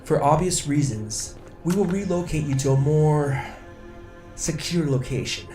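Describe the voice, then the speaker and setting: An earnest sci-fi security officer in an audio drama. He speaks formally and with measured resolve, in a roomy echoing space with music underneath. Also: a little high, animated, mellow, distant mic. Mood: grave, formal. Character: earnest, dutiful alien security officer, grown man.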